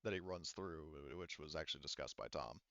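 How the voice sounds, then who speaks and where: A man's voice: a bass voice, quick pace, gravelly, sharp tone, no echo, muffled recording. A man casually narrating a tabletop game as game master, explaining things in a rambling, informal way.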